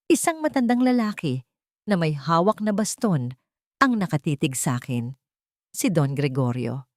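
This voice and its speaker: A woman's voice: low voice, sing-song, moderate pace, darkish tone, dry recording, studio quality. A woman reading a scripted first-person story in a dramatic narrating style.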